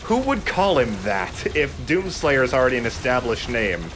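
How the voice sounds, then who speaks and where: A man's voice: mid-range voice, very animated, normal speed, noisy background. A man chatting casually as he gives commentary on a video game he is playing. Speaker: let's play gamer and commentator. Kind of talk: casual joking gameplay commentary. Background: faint game music.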